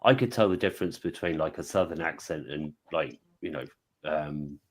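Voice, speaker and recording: A man's voice: rumbling low, normal speed. A man with a British accent, telling a personal story on a panel and acting out the people he quotes. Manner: animated, acts out quoted voices. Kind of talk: casual storytelling.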